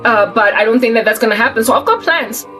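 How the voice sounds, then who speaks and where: A woman's voice: on the high side, animated, fast talker, fairly bright tone, faint music behind. A South African woman in her forties, talking casually to camera in an emphatic, colloquial rant that repeats and restarts words. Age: 40s. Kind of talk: casual ranting monologue to camera.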